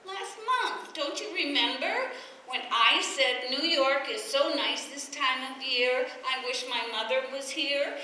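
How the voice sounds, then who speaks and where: An actress playing a chatty, scatterbrained wife in a radio domestic comedy, guileless and placating. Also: female voice, high-pitched, measured pace, pushed and bright. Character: chatty, scatterbrained wife defending her mother. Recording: very echoey, distant, faintly noisy radio-play recording.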